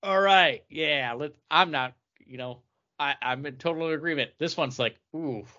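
A man co-hosting a podcast in casual conversation, talking haltingly and repeating himself as he makes his point. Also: on the high side, bright, forceful tone, highs cut off. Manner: halting, repeating and restarting phrases. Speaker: podcast co-host reviewing comic books. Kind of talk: casual chat.

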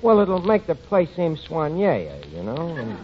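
An actor playing a cocky, scheming tavern manager with self-important patter in a 1940s radio sitcom. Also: male voice, a little high, wildly swooping pitch, unhurried. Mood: cocky chatty. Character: tavern manager, scheming, boastful, dim wisecracking man. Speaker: comedy lead. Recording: muffled, noisy 1940s radio broadcast, studio audience.

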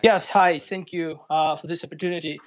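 Male audience participant, Ukrainian accent, native Russian speaker, fairly high voice, hesitant informal delivery. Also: fairly even intonation, a little fast. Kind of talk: informal personal account.

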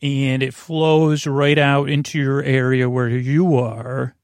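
A man hosting a bedtime podcast in a creaky voice, meandering and halting through soothing, rambling tangents. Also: mid-range voice, level tone, a little mellow, dry recording, studio quality. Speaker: podcast host.

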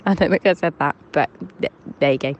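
A woman's voice: low-pitched, fast talker, lo-fi sound, slight background noise. Giggly young woman leaving a voicemail. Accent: mixed English, shifting between southern and northern. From Hampshire, England. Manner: chatty, giggly, self-deprecating. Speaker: caller. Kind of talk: voicemail to a podcast.

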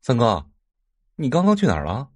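Young male sidekick, audio drama: lively swings in pitch, eager and gossipy, exclamatory, close dry recording. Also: moderate pace, breathy edge, dry recording. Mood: puzzled, casual. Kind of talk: Chinese audio drama acting.

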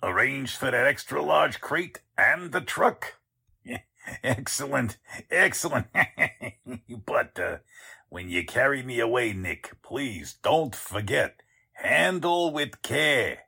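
A gravelly, scheming phony count. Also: male voice, medium pitch, lively intonation, slow pace, sharp tone, heavily acted. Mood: smug, pleased. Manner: suave affected courtesy switching to gruff bossiness. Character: scheming, pompous male con artist posing as a count. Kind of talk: 1940s radio drama acting.

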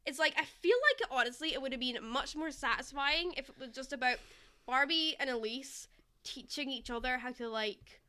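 A woman's voice: high voice, moderate pace, sharp tone. A young woman co-hosting a podcast, casually picking apart a movie in an analytical way with lots of filler "like". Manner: critical, analytical, frequent filler "like". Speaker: podcast co-host. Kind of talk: casual movie discussion chat.

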